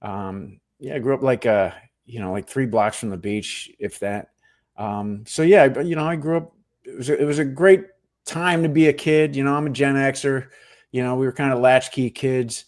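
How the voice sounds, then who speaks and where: A middle-aged man from New Jersey tells his stories at an easy pace. His tone pokes fun at himself, and he lets his voice fall into quiet asides as he finishes a thought. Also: moderate pace. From New Jersey, USA. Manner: relaxed storytelling, self-deprecating, trailing off into low asides. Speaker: guest, actor and Marine veteran. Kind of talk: casual interview chat.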